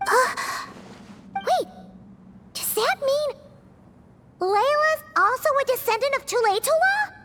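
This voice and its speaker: Very high-voiced, timid young woman. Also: sing-song, slow pace, long pauses, big-room sound, heavy background noise, full character voice. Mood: surprised. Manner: hesitant, deferential. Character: timid, polite young woman, soft and hesitant. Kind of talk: video game voice acting.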